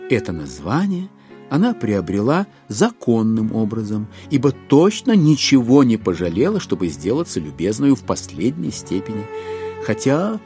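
A man narrating a literary audio drama in an expressive, wry, theatrical manner. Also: on the low side, normal speed, faint music behind.

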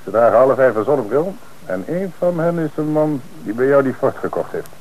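An actor playing a calm, commanding male police commissioner who directs a lineup in an old radio play. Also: medium pitch, a little fast, phone-quality sound, a little hiss or hum, lightly acted. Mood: businesslike.

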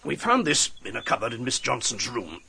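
A man playing a gruff, sceptical British police captain in a radio drama, brusque and matter-of-fact, with a British accent. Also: medium pitch, very animated, a little fast, pushed and bright, a little hiss or hum, exaggerated voice. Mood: grimly factual. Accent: British English.

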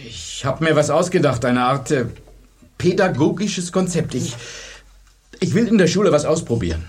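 Husky, pensive schoolteacher. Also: male voice, on the high side, very animated, moderate pace, heavily acted. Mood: pensive, intent. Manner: thoughtful, hesitant. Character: pensive male history teacher, preoccupied husband at home. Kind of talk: radio drama acting.

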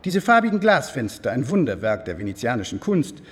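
An actor playing a proud, courteous lord of a medieval castle in a radio drama, speaking ceremoniously. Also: male voice, mid-range voice, very animated, a little fast, husky. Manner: ceremonious, explanatory. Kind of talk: literary radio drama acting. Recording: long hall reverb.

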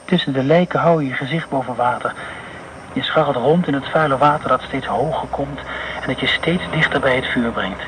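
An actor playing a haunted man who speaks in a slow, brooding monologue about blood and war, in a radio play. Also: medium pitch, a bit bright, slight background noise, natural voice, rough recording. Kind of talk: radio drama within a radio broadcast.

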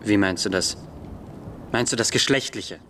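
A man's voice: lowish voice, moderate pace, sharp tone, slight background noise. An actor playing a reserved, thoughtful student in a radio drama, guarded and skeptical in his replies. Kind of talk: German literary radio drama.

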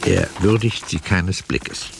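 Low-voiced male radio play narrator. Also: slow, gravelly, heavy background noise.

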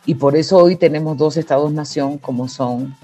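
A Dominican woman with a mellow, dark-toned voice gives reflective explanations as an interview guest. She speaks deliberately and leans on key words, with faint music underneath. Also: low-pitched. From Dominican Republic.

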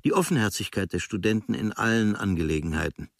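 A man playing an observant writer, reading his travel diary as a radio drama in measured, clipped literary phrases. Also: on the low side, creaky, no echo, clean studio sound. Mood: measured, reflective.

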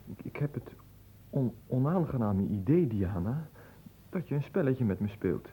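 A man playing a solemn, smitten nobleman in a radio play, speaking earnestly and haltingly. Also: medium pitch, big pitch swings, warm tone, husky, rough recording. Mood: uneasy, earnest. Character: solemn, hesitant young nobleman in love. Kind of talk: theatrical radio play acting.

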